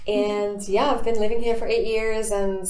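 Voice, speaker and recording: A woman from Russia, a business owner answering interview questions in a chatty, animated way, often laughing. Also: medium pitch, moderate pace. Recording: echoey room.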